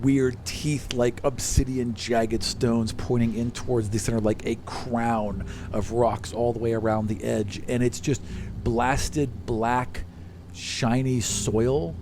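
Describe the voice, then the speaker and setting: A man's voice: mid-range voice, noisy background. A man working as game master, narrating a tabletop game in a casual, conversational way. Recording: prominent music behind the voice.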